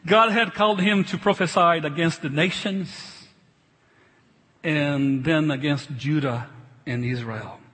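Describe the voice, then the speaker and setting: A middle-aged male pastor delivers a sermon in accented, non-native English. His voice is high and husky, and he speaks emphatically but haltingly, doubling back on phrases. It rings with long reverb in a large hall. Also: fairly bright tone. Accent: foreign. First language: not English. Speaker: pastor preaching.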